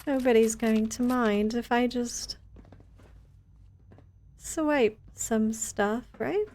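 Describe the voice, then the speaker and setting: A woman's voice: on the high side, very slow, a little mellow, a little hiss or hum. A woman chatting casually on a game livestream, conversational and rambling as she thinks aloud. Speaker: game streamer. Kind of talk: casual livestream chat while playing a game.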